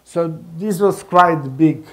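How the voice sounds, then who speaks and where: Slow-talking older man with Croatian accent. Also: a little high, a bit bright. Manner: halting, non-native English phrasing. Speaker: film director and professor speaking at a talk. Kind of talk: informal public talk with anecdotes. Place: medium-sized indoor meeting room before a small audience. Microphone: headset microphone.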